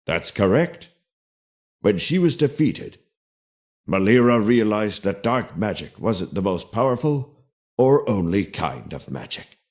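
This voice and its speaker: An actor playing a wise, gentle storyteller, narrating a legend in a measured, solemn and tender manner for a video game. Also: male voice, fairly low pitch, very animated. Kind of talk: scripted fairy-tale narration.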